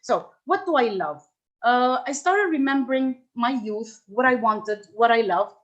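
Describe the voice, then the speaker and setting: A middle-aged woman from Lebanon giving a reflective workshop talk, with frequent restarts and fillers as she thinks aloud. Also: fairly high pitch. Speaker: workshop speaker.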